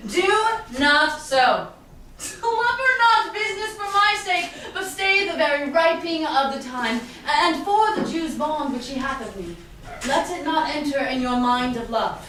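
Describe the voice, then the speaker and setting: A woman playing a gossiping gentleman in a Shakespearean staged reading, telling the tale with expressive emphasis. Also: high voice, heavily acted. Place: live staged reading in a reverberant room. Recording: very echoey room, distant, faint noise.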